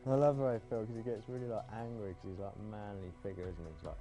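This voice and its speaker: Sweary man over loud music. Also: lowish voice, very fast. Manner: blunt, sweary, jittery. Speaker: ghost-hunt team member. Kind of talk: casual chat. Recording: music playing under the talk.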